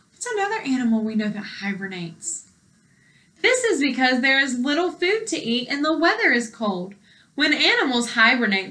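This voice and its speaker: A woman teacher reading a book aloud to young children, deliberately, with explanations and questions for her listeners. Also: fairly high pitch, fairly bright tone. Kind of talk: read-aloud lesson with commentary and questions.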